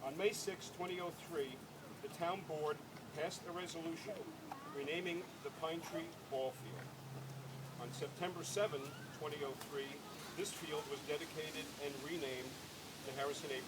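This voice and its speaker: Man reading a tribute speech over loud noise. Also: a little high. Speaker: speaker reading prepared remarks at a memorial dedication. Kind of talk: speech read aloud at an outdoor ceremony. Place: outdoors at a ball field. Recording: loud outdoor ambient and vehicle noise.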